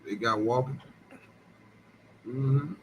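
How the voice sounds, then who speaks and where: A man in a casual group talk, laid-back and quick to agree, repeating his filler words. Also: mid-range voice, fairly even intonation, a little hiss or hum, rough recording.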